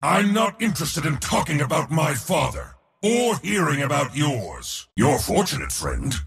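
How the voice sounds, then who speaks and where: An actor voicing a proud, battle-hungry god of war in a video game, with a theatrical, mocking, boastful delivery. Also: male voice, on the high side, sing-song, measured pace, gravelly, fairly bright tone, big-room sound, full character voice. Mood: cold, menacing, then reflective, subdued. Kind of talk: video game character voice lines.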